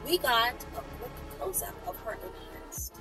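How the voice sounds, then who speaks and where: Female storyteller, high-pitched and very animated, teasing and theatrical, recorded away from the mic with music. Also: measured pace, far from the mic. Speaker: storyteller, channel host. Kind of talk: dramatic story reading with playful asides.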